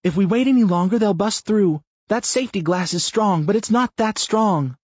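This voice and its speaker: A man's voice: high-pitched, slow pace, a little mellow, breathy edge, no room sound, voice pushed up, heavily acted. A male narrator voicing an anxious woman urging the group to act in an audiobook. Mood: urgent. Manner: urgent, pressing. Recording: muffled lo-fi audio.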